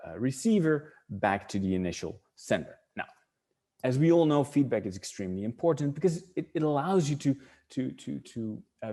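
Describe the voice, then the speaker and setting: A man's voice: medium pitch, dark tone. A middle-aged man from the Netherlands with a Dutch accent, presenting an online webinar and thinking aloud, often restarting and repeating words.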